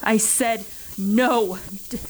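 A woman playing a distraught, defiant, paranoid young woman in an audio drama, halting and exasperated. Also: fairly high pitch, fairly even intonation, over music.

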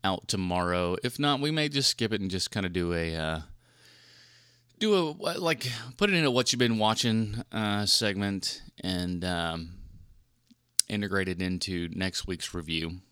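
Man, podcast host, bright forceful voice, close dry sound, informal rambling chat. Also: low voice, measured pace, clean tone, no echo. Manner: rambling, informal, mildly profane. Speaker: podcast host reviewing films. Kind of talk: casual solo podcast chat.

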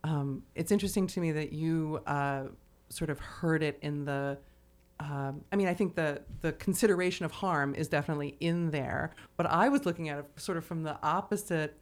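A woman's voice: low voice, moderate pace. A woman co-hosting a literary podcast, talking casually in a searching way and often checking in with "right?". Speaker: podcast co-host. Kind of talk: literary discussion, casual chat.

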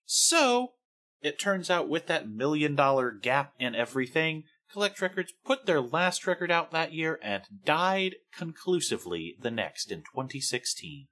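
A man's voice: a little high, sing-song, a little slow, pushed and bright. A man co-hosting a podcast, recounting music history with wry sarcasm. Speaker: podcast co-host. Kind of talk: casual podcast chat about music history.

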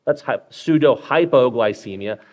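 A man's voice: medium pitch, lively intonation, normal speed, darkish tone. A man hosting a live medical podcast. He walks through clinical cases in a conversational way, with lots of fillers and check-ins with the listener.

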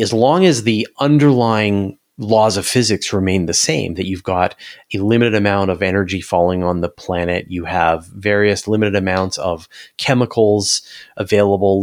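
Low-voiced man thinking aloud about science. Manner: thinking aloud, restarts and rephrases points. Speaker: science interviewer and podcast guest. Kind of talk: casual speculative science discussion.

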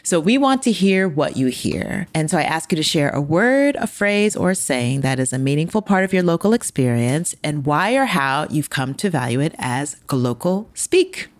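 Low-voiced woman host, dry sound. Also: big pitch swings, no room sound. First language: English. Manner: curious, halting questions with restarts. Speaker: podcast host. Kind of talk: casual interview chat.

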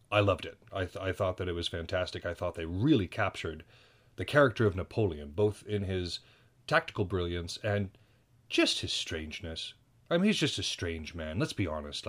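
An American man hosting a solo podcast, talking casually and rambling with self-deprecating asides and frequent restarts. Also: lowish voice. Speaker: podcast host. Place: indoors at home.

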